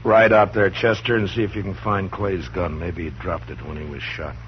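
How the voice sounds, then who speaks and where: Radio western lead: actor as a firm, calm marshal, lowish voice, dull hissy sound. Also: male voice, normal speed, close to an everyday voice. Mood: stern. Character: stern steady frontier marshal. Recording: muffled hissy old radio broadcast.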